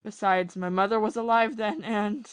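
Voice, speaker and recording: A woman playing a bitter, lovesick gentleman captain. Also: medium pitch, fairly even intonation, smooth, no room sound, clean studio sound, natural voice. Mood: anguished. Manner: confessional, impassioned, halting with repeated phrases. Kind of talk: audio play acting of a period drama.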